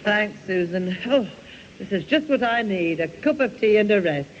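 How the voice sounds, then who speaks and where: An exasperated, sardonic mother played by a woman with a Northern English accent. Her delivery swings widely in pitch, in the style of radio comedy. The vintage recording is muffled and clearly noisy. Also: lively intonation, moderate pace, natural voice. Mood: weary relief. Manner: long-suffering, sardonic. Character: weary, exasperated mother wanting some rest. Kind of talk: 1970 radio sitcom acting.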